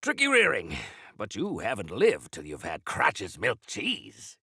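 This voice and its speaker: An actor playing a grandiose, eccentric exiled miner rebel in a video game, speaking in florid, archaic, theatrical phrases. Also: male voice, fairly low pitch, huge pitch swings, measured pace, sharp tone, breathy edge, no echo, studio quality, exaggerated voice. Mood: wry, confiding.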